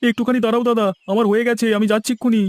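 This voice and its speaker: A man's voice: extremely high pitch, flat delivery, racing. A man playing a friendly, proud village palm-sap tapper in a cartoon, with a folksy manner. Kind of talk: cartoon dialogue.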